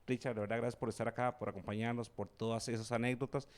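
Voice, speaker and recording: A man's voice: mid-range voice, flat delivery, fast. A man with a Costa Rican Spanish accent co-hosting a casual talk show, dropping frequent fillers. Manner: conversational, fills with "o sea". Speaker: co-host. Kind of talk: casual podcast chat.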